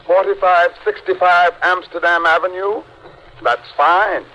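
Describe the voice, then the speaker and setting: An actor playing a stern, exasperated client in old-time radio comedy, curt and sarcastic. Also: male voice, high voice, fairly even intonation, a little slow, sharp tone. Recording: muffled vintage radio comedy recording.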